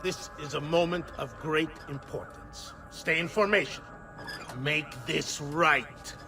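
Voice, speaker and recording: An actor playing a stern, obedient guard captain in a video game, speaking in a clipped, formal, commanding way. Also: male voice, on the high side, takes it slowly. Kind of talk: video game cutscene acting. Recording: music behind the voice.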